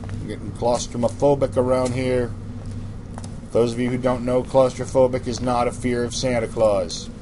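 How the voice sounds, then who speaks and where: A man's voice: medium pitch, level tone, moderate pace, background noise. A man hosting a let's play, reading in-game journals aloud and making sarcastic asides. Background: music.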